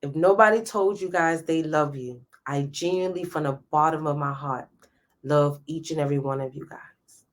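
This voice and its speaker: A woman's voice: low voice, normal speed. A woman in her thirties hosting a live stream, giving a passionate motivational talk straight to her viewers. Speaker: host.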